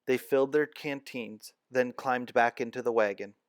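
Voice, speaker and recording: A man reading a children's chapter book aloud with expressive storytelling. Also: mid-range voice, normal speed, no echo, studio quality. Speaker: narrator.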